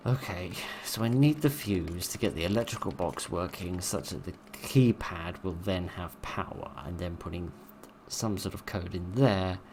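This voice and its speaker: A deep, low-pitched man, his voice worn hoarse after a long session, talks his way through a game in a dry, wry ramble. Keyboard typing is clearly heard in the background of his desk recording. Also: normal speed, hardly any pauses, a little mellow, slight background noise. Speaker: gameplay commentator. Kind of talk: let's play commentary.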